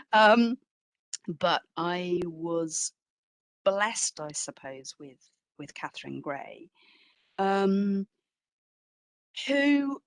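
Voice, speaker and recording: Woman, lower voice, close dry sound. Exhibition curator answering questions informally, animated and self-deprecating with emphatic repetition. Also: no room sound. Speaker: exhibition curator and guest speaker.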